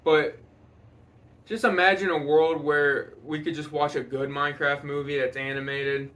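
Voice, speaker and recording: A man giving a casual, rambling movie commentary, exasperated and quick to swear. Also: fairly high pitch, little pitch movement, fairly bright tone. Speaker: video commentator.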